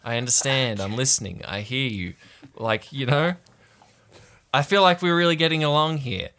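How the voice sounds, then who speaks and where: A man's voice: medium pitch, moderate pace, bright, forceful tone. A man voicing a laid-back teenage boy who rules a summer camp as warlord, speaking in nonchalant, drawling bro-speak. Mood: fed up, placating. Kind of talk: improvised comedic roleplay.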